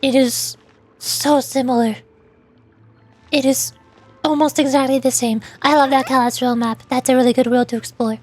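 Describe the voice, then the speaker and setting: High-voiced, playful woman streaming a game. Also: steady tone, normal speed, pauses often. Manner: playful, chatty. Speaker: VTuber streamer. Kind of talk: casual live game commentary. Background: faint music.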